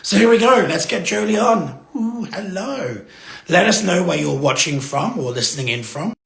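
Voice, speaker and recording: A man with a gravelly voice hosting a casual live music interview in an effusive, exclaiming manner. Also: sits high, lively intonation, normal speed, echoey room. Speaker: interview show host. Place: indoors, live social media stream.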